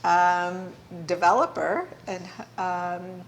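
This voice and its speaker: A woman's voice: on the low side, slow pace, highs cut off, slight background noise. A woman hosting a talk show, chatting enthusiastically with her guest. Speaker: show host. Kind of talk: casual interview chat.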